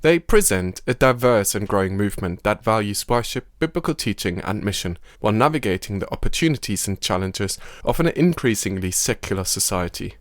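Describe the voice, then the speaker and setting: A man hosting a podcast, reading scripted narration in a measured way. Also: fairly low pitch, animated, no room sound. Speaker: podcast host. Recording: dry studio voice-over with music.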